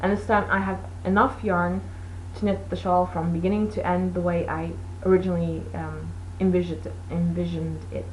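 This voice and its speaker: Woman host, Portuguese native, low-ish voice, easygoing rambling delivery with faint background noise. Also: level tone, moderate pace, hardly any pauses, highs cut off. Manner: rambling, chatty, many fillers. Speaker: knitting podcast host. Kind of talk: casual chat.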